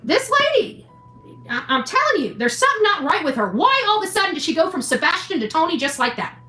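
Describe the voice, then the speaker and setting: A woman's voice: very high voice, very animated, fast talker, sharp tone. A woman delivering an impassioned, blunt opinion rant as a show host, scolding, mildly profane and repeating phrases for emphasis. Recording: echoey room, faint music behind.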